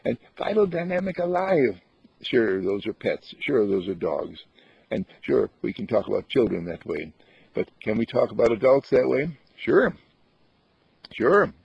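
Husky man chanting affirmations. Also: mid-range voice, big pitch swings, unhurried, dry recording. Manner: repetitive affirmations, chuckling. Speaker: meditation teacher. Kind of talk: spiritual talk. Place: outdoors on a path. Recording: dull dry lo-fi recording.

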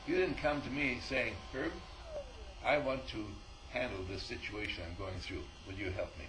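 A man's voice: lowish voice, normal speed, slight background noise, poor audio. A man giving an informal spiritual talk as a teacher, telling stories and acting out both sides of conversations with frequent chuckles. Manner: animated storyteller, acts out dialogue, chuckles often.

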